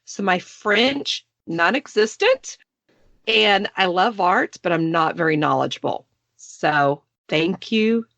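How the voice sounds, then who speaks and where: A woman's voice: lowish voice, lively intonation, slow pace, gravelly, a bit bright, dry recording. A woman in her late forties from Texas with a Texan accent, hosting a podcast in a chatty, playful way. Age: 40s. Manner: chatty, playful, self-deprecating. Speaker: podcast host. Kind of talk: casual podcast chat.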